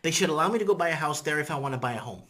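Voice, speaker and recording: Guy in his 30s ranting to his webcam, bright tone, portuguese is his first language, rambles and repeats a lot. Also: on the high side, rapid-fire. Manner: rambling, animated, repeats himself, "you know what I'm saying". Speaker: vlogger. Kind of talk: casual opinion vlog to camera. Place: indoors in front of a green-screen city backdrop.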